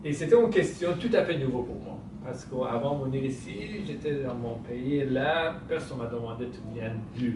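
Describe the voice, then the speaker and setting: A man's voice: mid-range voice, brisk, hardly any pauses. A man from Iran with an Iranian accent and Persian as his native language, giving a humorous personal storytelling talk to an audience. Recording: echoey room, distant mic, clearly noisy.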